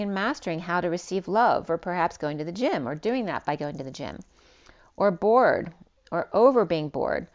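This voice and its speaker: A woman giving a spiritual tarot reading, rambling and free-associating with many restarts, her voice going hoarse. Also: mid-range voice, dull audio. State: losing her voice. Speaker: tarot reader.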